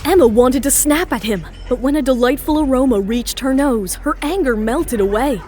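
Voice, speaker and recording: A woman's voice: high voice, normal speed, a little mellow. A woman narrating a scripted audio drama in a dramatic storytelling style, with music underneath. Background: background music.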